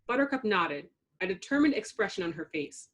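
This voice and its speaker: A woman reading a children's storybook aloud in an expressive narrating style. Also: medium pitch, a little fast. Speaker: storybook reader.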